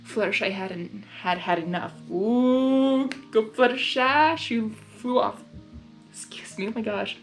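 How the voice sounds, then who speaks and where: A woman's voice: on the high side, slow, faint music behind. A woman narrating a story expressively as a reader, dropping in casual reactions of her own. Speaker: narrator, fan fiction reader.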